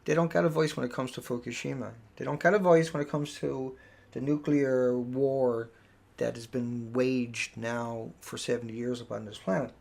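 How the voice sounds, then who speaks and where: Man, gravelly, middle-aged, rambling live stream host, emphatic. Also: mid-range voice, steady tone, moderate pace, a little mellow. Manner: rambling, emphatic, repeats words. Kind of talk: casual live stream monologue.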